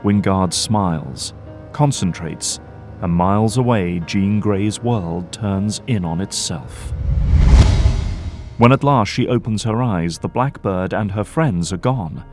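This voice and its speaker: A man's voice: fairly low pitch, slow pace, over music. A man delivering grave, florid scripted narration for an audio drama.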